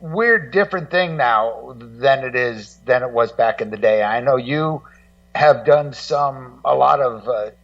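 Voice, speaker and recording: A middle-aged American man talking casually as a guest co-host in a radio discussion, in a rambling, halting way full of "you know". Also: mid-range voice, far from the mic, muffled recording. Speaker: guest co-host and rock music journalist.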